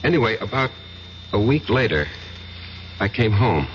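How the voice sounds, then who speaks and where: An actor playing an anxious, defensive professor in a 1970s radio drama, hesitant and pleading yet insistent. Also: male voice, lowish voice, big pitch swings, normal speed. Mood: uneasy recounting. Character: anxious, defensive male professor accused of killing. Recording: muffled, noisy 1970s radio recording.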